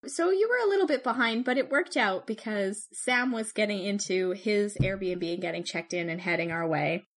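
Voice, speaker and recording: A woman co-hosting a podcast, telling stories in an animated, chatty way. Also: mid-range voice. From Canada. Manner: chatty, animated storytelling with laughs. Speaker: podcast co-host. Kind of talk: casual chat.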